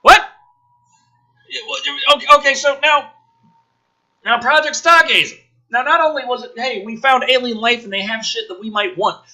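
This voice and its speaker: A man's voice: very high voice, moderate pace, pauses often, pushed and bright, low-quality recording. A man reading a script aloud with theatrical emphasis and incredulous, profane, exasperated commentary, as the host of a review show.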